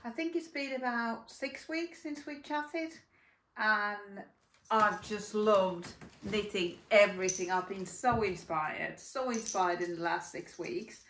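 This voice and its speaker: A woman's voice: medium pitch, takes it slowly, fairly bright tone, recorded from a distance, slight background noise. A middle-aged woman from Finland, chatting casually as a podcast host at home, rambling with many fillers. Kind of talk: casual chat. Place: home room by a yarn cupboard. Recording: echoey room, voice set back from mic.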